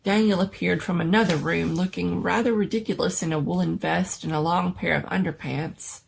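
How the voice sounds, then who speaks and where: A woman's voice: on the low side, steady tone, normal speed, creaky, a little hiss or hum, rough recording. A woman giving a dramatic reading of a scary story as its storyteller.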